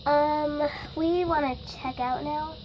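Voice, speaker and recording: A woman's voice: sits high, steady tone, slow pace, darkish tone, a little hiss or hum, low-quality recording. A girl joining a toy shopping scene with short, eager replies. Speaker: toy-play partner. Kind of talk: improvised toy play-acting.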